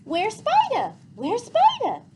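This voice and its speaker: A woman's voice: very high voice, huge pitch swings, slow pace, heavy background noise, pitched above the performer's own voice, heavily acted. A woman voicing a cheerful, sweet toddler girl in squealing baby talk for a storybook. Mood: cheerful, eager.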